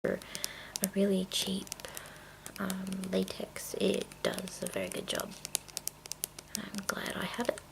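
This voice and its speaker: A woman chatting casually in a soft, mostly whispered ASMR style, rambling along, with tapping and clicking from small containers. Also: mid-range voice, very animated, unhurried, vocal fry, pauses often, low-quality recording. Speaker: video creator and host. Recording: noticeable noise, clicking sounds. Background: tapping and clicking of makeup containers.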